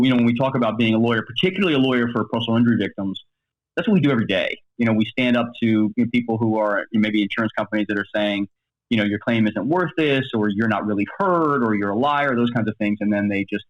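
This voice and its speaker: A middle-aged American man talks quickly in a lowish voice, answering interview questions with plenty of asides. The recording is dry and somewhat dull. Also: dry recording. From Maryland, United States. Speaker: podcast guest, attorney.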